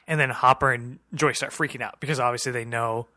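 A man's voice: medium pitch, steady tone, fast, sharp tone, no room sound, studio quality. A man co-hosting a podcast in a casual, informal recap chat. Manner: informal, swearing. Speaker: podcast co-host.

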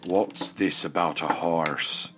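A man voicing a frail, kind old man in a storybook tale, slow, sighing and gentle. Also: deep voice, steady tone, loud music behind the voice, voice pushed down. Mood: weary, concerned. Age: older. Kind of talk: storybook character acting.

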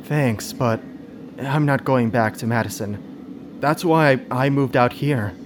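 An actor playing a withdrawn, nervous male survivor in a radio drama, hesitant and halting. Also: mid-range voice, noisy background, lightly acted. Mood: fragile, guarded. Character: withdrawn, wounded man, nervous abuse survivor.

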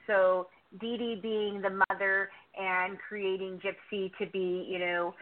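A woman giving long, explanatory answers as a podcast co-host being interviewed, talkative and conversational. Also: mid-range voice. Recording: narrow tinny phone line.

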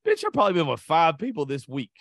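A man's voice: fairly high pitch, wildly swooping pitch, fast talker, fairly bright tone, no room sound. Crude, joking man with a dry voice. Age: adult. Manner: crude, blunt and joking, with lots of swearing. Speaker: podcast host. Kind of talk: casual chat.

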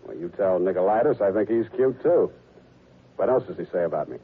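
A man's voice: low-pitched, lively intonation, brisk, husky. A man playing a hard-boiled, wisecracking American private detective in a 1940s radio drama, with dry, glib delivery. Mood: wry, cool. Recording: muffled 1940s radio recording with music.

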